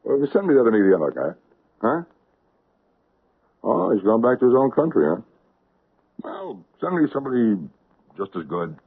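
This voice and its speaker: An actor playing a pushy, self-serving business owner in a 1970s radio drama. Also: male voice, medium pitch, sing-song, moderate pace, gravelly, long pauses, a little hiss or hum. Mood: scheming. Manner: pushy, wheedling. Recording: tinny old radio broadcast.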